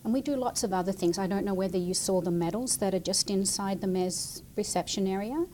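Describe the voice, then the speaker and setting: A woman's voice: medium pitch, fairly quick, warm tone, a little hiss or hum. A woman explaining her work to children as a guest, in plain, chatty language. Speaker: city military liaison and veteran, guest speaker.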